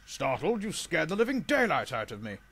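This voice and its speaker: A man doing a grumbling, pompous steam engine, animated. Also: fairly high pitch, moderate pace. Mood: indignant. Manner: blunt, indignant. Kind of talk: children's railway storybook character voice.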